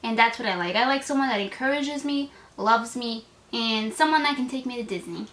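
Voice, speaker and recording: A woman's voice: a little high, a little fast, fairly bright tone. An eighteen-year-old woman chatting to camera in a casual vlog, gushing and full of "like" fillers. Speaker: vlogger. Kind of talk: casual tag video chat to camera.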